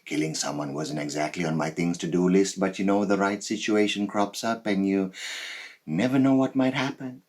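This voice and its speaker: A man performing a dramatic monologue as a cold, boastful psychopathic killer, menacing and deliberate, with dramatic pauses and swells. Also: deep voice, steady tone, darkish tone. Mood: menacing, hushed. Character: cold, boastful male psychopath serial killer telling his story.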